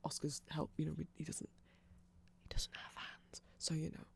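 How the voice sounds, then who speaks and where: A nerdy vampire shopkeeper played by a performer chats in an ASMR roleplay with a gravelly fry in the voice. The delivery is awkward and apologetic, full of stammers and rambling half-sentences, polite throughout. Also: mid-range voice, completely flat delivery, moderate pace, natural voice. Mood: shy, rambling. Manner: hesitant, flustered, stammering, apologetic.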